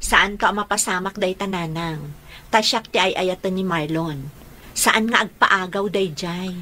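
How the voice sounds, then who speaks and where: An actress playing a young single daughter in a radio comedy drama, bashful, coy and easily exasperated. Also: female voice, lowish voice, unhurried, bright, forceful tone, slight background noise. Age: young adult.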